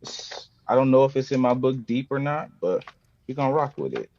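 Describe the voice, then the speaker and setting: A man's voice: mid-range voice, a little fast, darkish tone, a little hiss or hum. An American man of thirty from the West Coast, a poet speaking as a podcast guest. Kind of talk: poetry reading and casual chat. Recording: dull lo-fi roomy sound.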